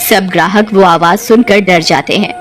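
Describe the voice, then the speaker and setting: A woman's voice: mid-range voice, moderate pace, a little hiss or hum. A woman narrating a scripted cartoon ghost story in a dramatic storytelling style.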